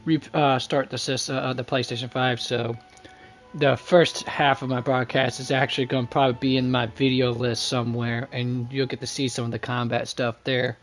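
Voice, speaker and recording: A man livestreaming a video game, chatting casually in a halting, rambling way. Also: mid-range voice, little pitch movement. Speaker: game streamer. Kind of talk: casual livestream chat over gameplay.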